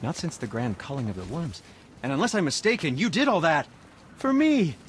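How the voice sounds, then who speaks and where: An actor playing a fussy, squeamish, easily flustered weaponsmith in a video game, with a prissy, theatrical, stammering delivery. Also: male voice, fairly high pitch, big pitch swings, brisk, a little hiss or hum. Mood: awed. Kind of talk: video game cutscene acting.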